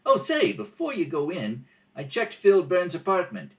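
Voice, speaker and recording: A man acting a gruff, brisk police lieutenant in an old radio mystery. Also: high-pitched, wildly swooping pitch, pitched above the performer's own voice. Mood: brisk, eager. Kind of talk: 1940s radio mystery acting, rehearsal read-through.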